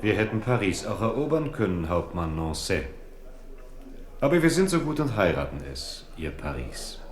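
A man's voice: fairly low pitch, sing-song, normal speed. An actor playing a stern, dryly proud Huguenot nobleman in a radio play. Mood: dry pride. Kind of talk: 1970s radio play acting. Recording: echoey radio drama room.

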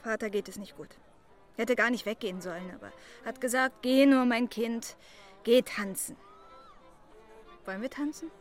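A woman acting a young village daughter in a radio play, dutiful but headstrong. Her voice sits a little high and she speaks in short, pointed replies. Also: normal speed, pauses often, faint music behind, close to an everyday voice. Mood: wistful, gentle. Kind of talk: German radio drama acting.

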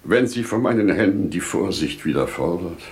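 An actor playing a wise, devout older merchant father in solemn, declamatory, deliberate 1950s radio play style. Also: low-pitched, lively intonation. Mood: resolute. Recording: 1950s radio drama recording.

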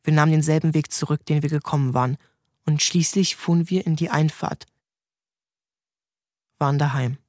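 A man narrating a literary story in a calm, measured way. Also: fairly high pitch, no echo, clean studio sound. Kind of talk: scripted narration.

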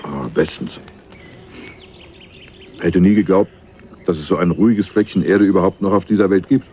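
A man's voice: low-pitched, moderate pace, phone-quality sound, a little hiss or hum. An actor playing a shrewd, watchful man in a radio crime drama, probing with wry questions. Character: shrewd, watchful male companion in a crime story. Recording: band-limited radio recording with music.

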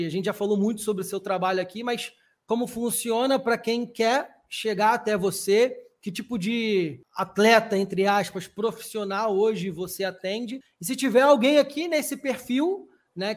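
A man hosting a casual podcast interview, speaking in an upbeat, wordy way with lots of fillers. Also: high-pitched. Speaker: podcast host.

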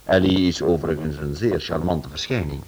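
A man's voice: very deep voice, steady tone, brisk, very noisy. A man, a radio director giving a scripted introduction to a drama series.

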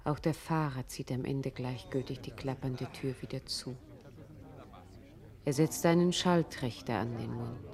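A radio play narrator with a low-pitched voice. Her delivery is sober and measured, setting out each scene as plain description. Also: a little mellow.

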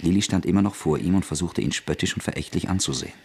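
A man narrating a radio drama in a measured, even manner. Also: low-pitched. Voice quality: husky, gravelly.

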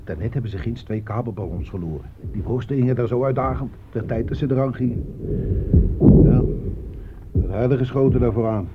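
An actor playing an earthy, plain-spoken front-line soldier in a Dutch radio war drama. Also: male voice, mid-range voice, fairly quick, close to an everyday voice. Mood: grumbling. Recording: dull old radio recording, faint noise.

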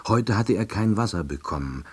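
An actor playing a wry, hapless middle-aged salesman, narrating his own story in an audio drama with a dry, deadpan delivery and husky voice. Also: lowish voice, moderate pace. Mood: wry, resigned. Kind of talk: scripted first-person narration.

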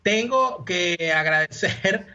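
A man's voice: sits high, lively intonation, takes it slowly, pushed and bright, dull audio. A man co-hosting a talk show over a video call from abroad, casual and teasing. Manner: teasing, playful. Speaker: co-host. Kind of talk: casual radio talk, joking. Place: remote from abroad.

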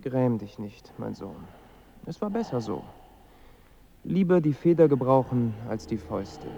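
A man's voice: medium pitch, very animated, measured pace, creaky, warm tone, a little hiss or hum. An actor playing a dead father who appears to his son in a dream, probing and consoling, in a radio drama. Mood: consoling, relieved. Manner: insistent, probing, then consoling. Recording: dull sound with music behind.

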